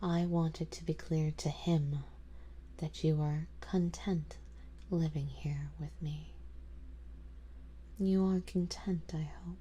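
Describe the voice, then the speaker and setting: A woman playing a doting, possessive, genteel vampire in a whispered ASMR roleplay, speaking softly and coaxingly in a breathy whisper. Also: sits low, fairly even intonation, slow, pauses often, full character voice. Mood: tender, possessive. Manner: soft, coaxing, genteel, indulgent.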